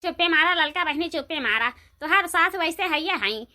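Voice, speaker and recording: A woman's voice: very high voice, animated, moderate pace, sharp tone, full character voice. A woman voicing an outspoken, disapproving village neighbour with an Awadhi accent in a comedy cartoon, chiding others. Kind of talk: Hindi dialect comedy cartoon dialogue.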